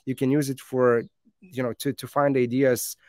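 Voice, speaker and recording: A man in his thirties, a native Lithuanian speaker, gives a conference talk over slides, halting with many fillers and restarts. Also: mid-range voice, flat delivery, a little mellow, dry recording, clean studio sound.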